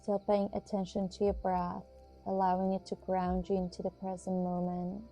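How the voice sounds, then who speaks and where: A woman with a very dark, mellow voice at a medium pitch leads a guided yoga session. She instructs in a soothing, measured manner, leaving pauses between short phrases. Music plays behind her. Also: monotone.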